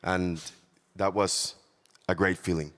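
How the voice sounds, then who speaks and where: A man from Panama speaking from the audience at a conference on a handheld mic, his voice raspy after a night out, cheerful and joking. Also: low voice, flat delivery, slow, fairly bright tone. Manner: cheerful, joking, laughs between lines. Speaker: conference attendee. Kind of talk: casual remarks from the audience. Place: large conference hall. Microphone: handheld mic passed around. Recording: long hall reverb.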